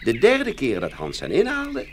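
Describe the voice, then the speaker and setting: A man narrating a fairy tale in an expressive, scripted storytelling style. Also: medium pitch, moderate pace, background noise.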